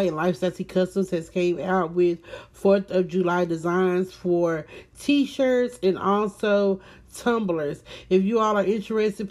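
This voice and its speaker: A woman's voice: medium pitch, darkish tone. Female vlog host, informal and conversational, talks directly to followers and repeats points for emphasis. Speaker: host of a book club vlog. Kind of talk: casual chat to followers.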